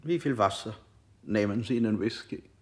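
An actor playing a calm male interrogator in a 1960s radio play. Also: fairly low pitch, moderate pace, natural voice. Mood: probing. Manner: calm, probing questions. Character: cold male interrogator, middle-aged.

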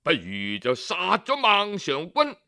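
A male storyteller plays a calculating royal minister in a storybook tale. His voice is clean and smooth, and he makes his case earnestly at an unhurried, deliberate pace. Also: sits high, huge pitch swings, pushed and bright, full character voice. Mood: grave warning. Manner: deliberate, earnest persuasion of a ruler. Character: wary, calculating male chief minister of an ancient kingdom. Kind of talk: storybook character dialogue.

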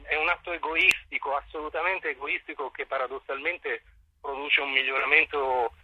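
A man giving reflective interview answers as a theatre director, articulate and formal, choosing words deliberately. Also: mid-range voice, pushed and bright, husky, dry recording, highs cut off.

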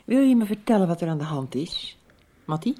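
A woman playing a concerned, loving but firm mother in a radio soap, gentle and earnest. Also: fairly low pitch, very animated, a little fast.

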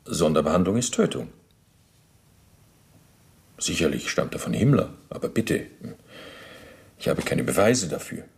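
Evasive, low-voiced, gravelly Nazi bureaucrat. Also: male voice, sing-song, unhurried, long pauses, a little mellow, exaggerated voice. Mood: hedging, detached. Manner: formal, pedantic, deferential, self-justifying. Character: evasive, bureaucratic former Nazi official under interrogation. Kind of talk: German radio docudrama acting, interrogation dialogue.

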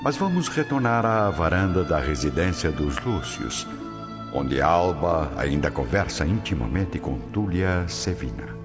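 A man's voice: low-pitched, expressive, measured pace. A man narrating a radio drama in a formal, theatrical, scripted manner. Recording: dull lo-fi audio over music.

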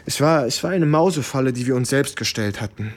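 An actor playing a shaken American soldier who recounts wartime horror gravely and haltingly in a German radio play. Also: male voice, mid-range voice, lightly acted. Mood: bitter, weary. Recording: studio radio drama with music underneath.

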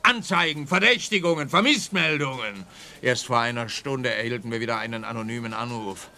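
A man playing a harried, dismissive police officer in a radio detective comedy, brisk and emphatic. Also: mid-range voice, bright, forceful tone. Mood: harried, defensive. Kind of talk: scripted radio play dialogue.

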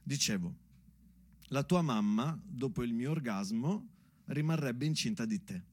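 A man performing a theatrical monologue like a spoken letter, deliberate and measured, pausing between short phrases. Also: medium pitch, animated, darkish tone, slightly rough. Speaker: stage actor performing his own monologue.

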